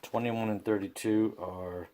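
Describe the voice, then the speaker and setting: A man talking casually and without a script through a repair as host, haltingly thinking aloud. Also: on the low side, steady tone, noisy background. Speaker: host of a radio repair video. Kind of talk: casual unscripted repair commentary.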